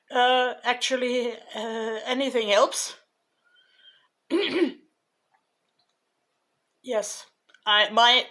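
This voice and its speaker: Slow, hesitant woman vlogger. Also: a little high, a bit bright. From Germany. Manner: hesitant, many fillers and restarts; slow, thoughtful delivery. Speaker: YouTuber. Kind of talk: casual vlog recap.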